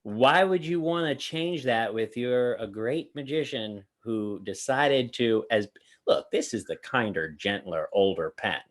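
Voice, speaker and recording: A man's voice: mid-range voice, unhurried. A man co-hosting a magic review show, chatting casually and rambling through anecdotes with many restarts. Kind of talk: casual panel chat.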